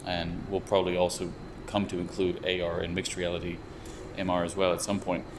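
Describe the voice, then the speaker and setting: A young man working as a VR researcher and developer, explaining his views in a measured, thoughtful way with frequent restarts. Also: deep voice. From United States. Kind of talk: explanatory interview talk. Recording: steady air conditioner hum behind. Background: air conditioning hum.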